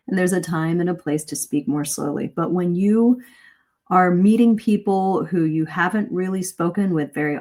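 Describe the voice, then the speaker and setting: A woman's voice: fairly low pitch, a little mellow. A middle-aged woman teaching a live online English lesson in a conversational, encouraging way, explaining and stressing her key points. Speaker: English communication coach, host. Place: live video stream.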